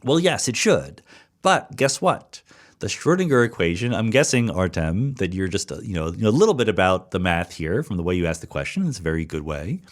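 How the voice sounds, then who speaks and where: A middle-aged man with a low voice explains ideas to his listeners, moving his pitch up and down a lot as he goes. He thinks aloud in an easy conversational way, correcting himself mid-sentence and dropping in fillers, and now and then reads a listener's question aloud before answering it. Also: big pitch swings, a little fast. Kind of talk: solo Q&A podcast, explaining science.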